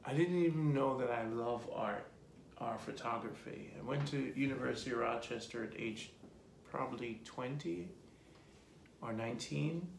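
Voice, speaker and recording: Gravelly man in his forties. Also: medium pitch, moderate pace, a little hiss or hum. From Jamaica. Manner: rambling lists, relaxed and reflective. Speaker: artist being interviewed. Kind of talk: casual storytelling interview. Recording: echoey room.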